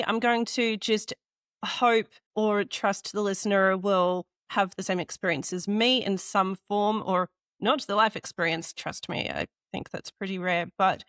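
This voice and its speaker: A woman's voice: medium pitch, a bit bright, no room sound. A woman hosting a podcast interview who thinks aloud and corrects herself as she goes, her voice worn and going. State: losing her voice. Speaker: podcast host. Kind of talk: conversational interview.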